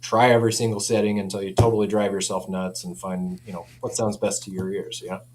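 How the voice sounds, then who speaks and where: A man's voice: lowish voice, flat delivery, fast, darkish tone. A man chatting casually as a company representative explaining audio products, easygoing and colloquial, often saying "you know". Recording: clear background noise with hum.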